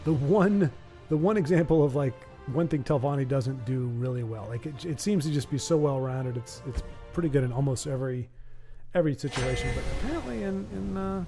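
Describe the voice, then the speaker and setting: A man's voice: medium pitch, animated, brisk, mellow, soft background music. A man hosting a card game livestream, chatting casually and rambling, often stammering and repeating words. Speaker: stream host and commentator.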